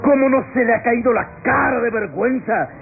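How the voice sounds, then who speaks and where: An actor playing an impassioned defense lawyer in a classic radio melodrama, declaiming solemnly to a jury with rhetorical questions and dramatic pauses. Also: male voice, very high voice, creaky, soft background music. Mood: indignant. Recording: tinny, noisy old radio recording.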